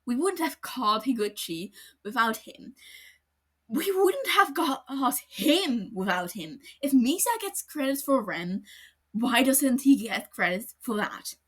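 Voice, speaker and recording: A woman voicing an earnest young male detective who is appalled and pleading, in a higher voice than her narration. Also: big pitch swings, slow pace, a bit bright, slightly rough, heavily acted. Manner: pleading, appalled, raised pitch. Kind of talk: podfic character reading.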